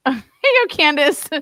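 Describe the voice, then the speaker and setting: A woman's voice: high-pitched, pushed and bright, no room sound, poor audio. A woman making casual, reactive comments as she follows along with what she is hearing.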